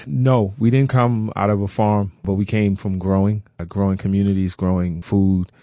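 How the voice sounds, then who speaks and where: A man's voice: lowish voice, flat delivery, normal speed, warm tone, dry recording, clean studio sound. A middle-aged American man from Brooklyn, speaking conversationally as an interview guest. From Brooklyn, New York. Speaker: interview guest, farmer and author.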